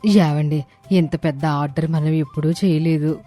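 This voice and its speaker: A sensible, humble village wife, played by a woman in an animated story. Her voice is low and dark with little melody, respectful, over faint music. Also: moderate pace, close to an everyday voice. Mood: worried. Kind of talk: animated moral story dialogue.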